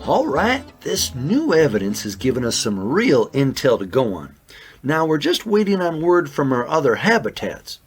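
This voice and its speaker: A man voicing an earnest, excitable raccoon detective running a case in a children's audio drama. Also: medium pitch, normal speed, faint music behind. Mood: businesslike. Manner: polite, businesslike, flustered when surprised.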